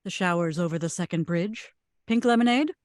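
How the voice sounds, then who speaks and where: Woman acting polite butler, formal, lowish voice, comedy table read, dry close sound. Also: fairly quick, a little mellow, no echo, lightly acted.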